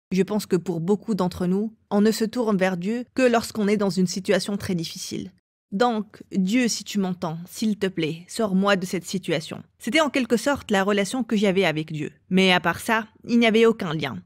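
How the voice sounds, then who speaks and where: Woman telling her life story in an interview, medium pitch, really dry close sound no room. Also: moderate pace, no room sound. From England. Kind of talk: personal testimony in an interview.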